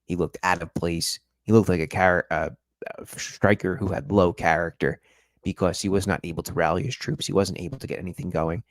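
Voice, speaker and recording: Casting: male stream host, very low voice, dry close sound, chatty and analytical. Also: brisk, no echo. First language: English. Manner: chatty, analytical, addresses viewers directly. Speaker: football podcast host. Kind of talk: live-stream match review.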